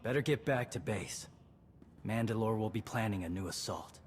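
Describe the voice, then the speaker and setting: A battle-weary young clan leader, played by a man, talks in short, clipped lines. His voice sits a little low, with faint ambient noise around him. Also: moderate pace, close to an everyday voice. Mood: terse. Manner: terse, clipped, tired.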